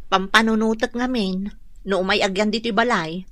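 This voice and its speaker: A woman's voice: mid-range voice, fairly even intonation, normal speed, bright, forceful tone, no echo, natural voice. A woman playing an earnest, coaxing young wife in a radio drama, with an Ilocano accent. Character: earnest pregnant young wife, gentle but insistent peacemaker.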